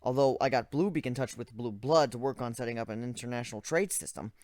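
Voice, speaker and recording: A man's voice: medium pitch, fast talker, a little mellow, no room sound, lightly acted. A man voicing a young griffin inventor, analytical and weary, in a dramatic story reading. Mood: weary, downcast. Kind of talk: dramatic reading of first-person fan fiction.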